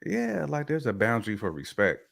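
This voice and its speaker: A man's voice: mid-range voice, wildly swooping pitch, a little fast, gravelly, fairly bright tone. A man giving his opinions in casual, slangy conversation as a guest on a talk show. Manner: colloquial, slangy phrasing.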